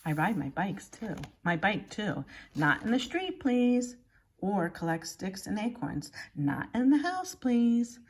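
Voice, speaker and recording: A woman's voice: mid-range voice, very animated, slow, breathy edge. A woman reading a children's picture book aloud like a schoolteacher, expressively and with exaggerated emphasis.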